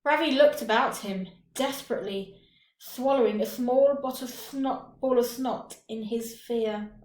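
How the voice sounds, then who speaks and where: Slow, expressive woman storyteller. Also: fairly high pitch. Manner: deliberate, dramatic phrasing with pauses. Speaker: teacher reading a short story. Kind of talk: expressive scripted story narration. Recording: roomy, echoing room.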